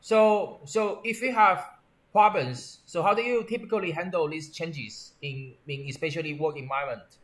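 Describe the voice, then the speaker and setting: Higher-voiced man asking halting questions. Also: a bit bright. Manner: halting, restarts and rephrases questions. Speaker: interviewer. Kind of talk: structured interview questions.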